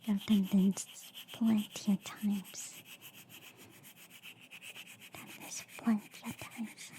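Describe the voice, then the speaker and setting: A woman softly whispering an ASMR roleplay as a reassuring alien surgeon, breathy and hesitant, close to the microphone. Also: on the high side, slight background noise. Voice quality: soft, breathy, mostly whispered. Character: reassuring, slightly inept alien girl surgeon. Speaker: ASMR performer playing an alien doctor.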